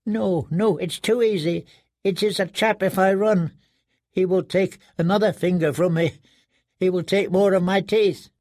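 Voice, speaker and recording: Man doing a cowering male prisoner, high, strained, pleading, cracked. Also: slow, no room sound, clean studio sound. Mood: fearful. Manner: whimpering, pleading. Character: broken, terrified young male captive.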